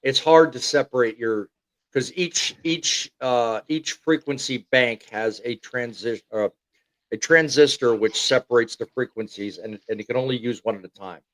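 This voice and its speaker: A man hosting a live-streamed call-in chat show, explaining technical details at length and chiming in often with quick agreement. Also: mid-range voice, a bit bright, no room sound, low-quality recording. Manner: explains technical points at length, frequent "yeah". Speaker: show host.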